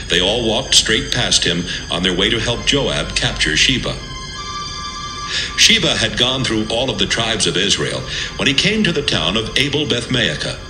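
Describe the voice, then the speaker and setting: Guy narrating bible stories, lowish voice with lots of rise and fall, echoey with music under. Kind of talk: dramatic scripted Bible narration. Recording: echoey, music bed behind.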